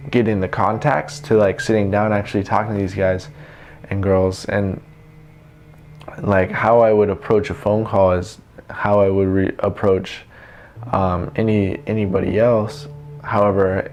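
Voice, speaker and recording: A young man giving casual, unscripted advice in an informal, halting way with trailing thoughts. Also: fairly low pitch, a little mellow. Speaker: vlogger giving self-help tips. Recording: noticeable background noise.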